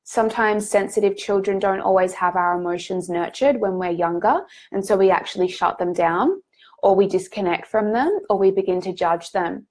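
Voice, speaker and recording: A woman with a head cold, sounding a bit nasal, hosting a live group coaching call in a gentle, reassuring way with frequent ums and restarts. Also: mid-range voice, normal speed.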